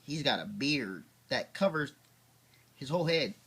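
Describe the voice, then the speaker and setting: Slow, animated dad reading a storybook. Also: male voice, medium pitch, vocal fry, slightly rough. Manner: theatrical, drawn-out emphasis on key words. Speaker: father reading a bedtime story to his children.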